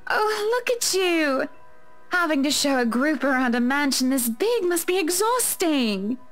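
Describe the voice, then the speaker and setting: A woman's voice: high-pitched, big pitch swings, measured pace, fairly bright tone, faint music behind, pitched above the performer's own voice, exaggerated voice. A woman voicing a wealthy, pushy married woman in a visual novel, gushing and condescending with cloying sweetness.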